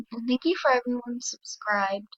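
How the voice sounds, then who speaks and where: A tired woman with a dry throat, hosting a casual gaming video in a soft, hesitant, apologetic way with many pauses and ums. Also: fairly high pitch, animated, dry recording. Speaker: gaming channel host. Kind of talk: casual game commentary. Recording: dull lo-fi mic.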